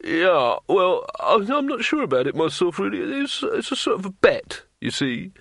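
A man's voice: on the high side, big pitch swings, measured pace, sharp tone, dry recording, studio quality, pitched above the performer's own voice, full character voice. A man voicing a fat, nervous male innkeeper who stammers and hesitates in a storybook reading.

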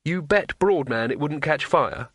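A man's voice: fairly high pitch, expressive, a little slow, fairly bright tone, slightly rough, no room sound, heavily acted. A man voicing a desperate, cowardly, sardonic wizard in a storybook reading, with a frantic, exasperated manner. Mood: puzzled.

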